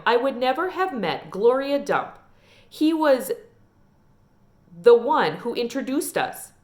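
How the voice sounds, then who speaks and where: A woman reading a children's novel aloud in an expressive storytelling style. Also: on the high side. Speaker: read-aloud reader.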